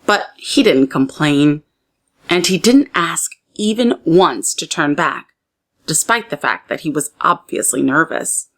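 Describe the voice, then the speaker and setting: A woman narrating a children's story aloud as a read-aloud storyteller, with expressive, deliberate phrasing meant for young listeners. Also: sits low.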